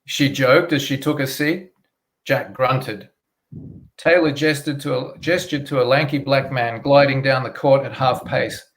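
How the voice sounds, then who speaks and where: Male author, medium-pitched voice. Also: unhurried, a bit bright, rough recording. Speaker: author, interview guest. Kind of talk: casual chat and reading his fiction aloud.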